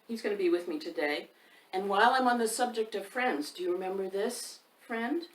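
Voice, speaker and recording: Woman hosting children's storytime, distant roomy sound. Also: medium pitch. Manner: unhurried, addressing young listeners directly with questions. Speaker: children's author and storytime host. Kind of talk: casual storytime chat and expressive picture-book read-aloud for children. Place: indoors by a fireplace, roomy sound. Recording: roomy, distant microphone with faint background noise.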